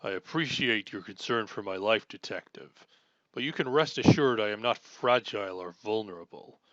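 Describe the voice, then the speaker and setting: A man's voice: on the low side, lively intonation, moderate pace, a bit bright, dry recording, muffled recording. A man playing an earnest, chivalrous armored superhero swordsman in an audio roleplay, speaking in formal, archaic, self-assured phrases. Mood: confident, reassuring. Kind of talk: scripted audio roleplay acting.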